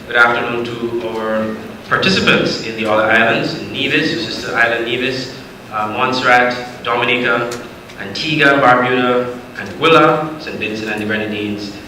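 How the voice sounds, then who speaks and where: A man opens a session with a courteous greeting and a light, joking touch. His voice has a bright edge. It echoes strongly through the hall over faint noise. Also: mid-range voice, normal speed. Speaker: session moderator. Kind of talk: formal greeting.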